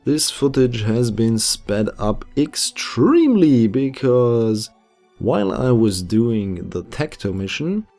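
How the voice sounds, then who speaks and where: A man's voice: medium pitch, darkish tone. A young man with a German accent, chatty and self-deprecating, narrating his space game as commentator over his footage. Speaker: gameplay commentator. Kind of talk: casual gameplay commentary. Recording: voice-over with faint background music.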